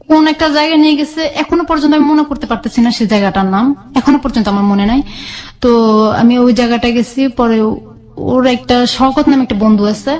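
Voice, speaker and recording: A Bangladeshi-accented woman with a fairly high voice tells a personal story as a radio guest. She talks quickly and conversationally, replaying both sides of remembered exchanges. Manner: animated retelling with quoted dialogue. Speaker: guest telling own life story.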